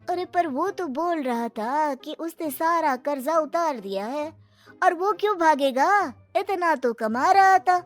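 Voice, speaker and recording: A woman voicing chatty, excitable village customers in an animated moral story, with an exclaiming delivery. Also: sits high, unhurried, faint music behind, pitched above the performer's own voice. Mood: curious.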